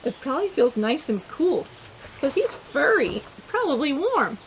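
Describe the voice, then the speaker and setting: Woman storytime host, high voice, playful picture-book reading for kids. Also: measured pace, slight background noise, poor audio. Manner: animated and playful, with chatty asides to young listeners.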